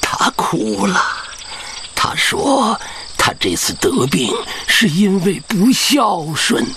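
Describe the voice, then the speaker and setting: An actor playing an old African village chief and doting grandfather in an earnest, expressive radio drama. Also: high voice, slow pace, a little hiss or hum, exaggerated voice. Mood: distressed. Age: older.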